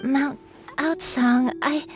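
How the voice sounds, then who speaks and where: An actress playing a troubled, fragile young woman in a video game, speaking in a hesitant, halting way. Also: high voice, fairly even intonation. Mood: anxious, reluctant. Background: background music.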